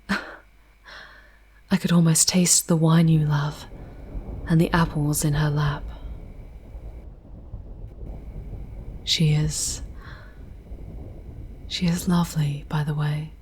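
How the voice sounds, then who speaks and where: A woman voicing a charming, wistful female demon guide in an ASMR roleplay, with a breathy, whispery voice and poetic, formal speech. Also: low-pitched, level tone, darkish tone, slight background noise. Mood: concerned, tender. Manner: slow, poetic, formal diction. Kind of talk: ASMR roleplay, soft theatrical narration.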